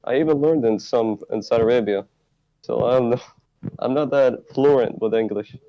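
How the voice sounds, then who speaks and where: A man answering as a guest on a roleplay talk show, speaking haltingly and searching for words. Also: medium pitch. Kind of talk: in-character roleplay interview. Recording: muffled lo-fi mic.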